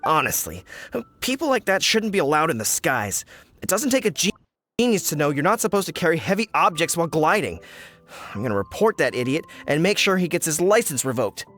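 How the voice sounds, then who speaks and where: An actor playing a flustered, indignant village fruit merchant in a video game, dramatic and grumbling. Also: male voice, on the high side, very animated, a little fast, fairly bright tone, soft background music. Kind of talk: animated video game dialogue.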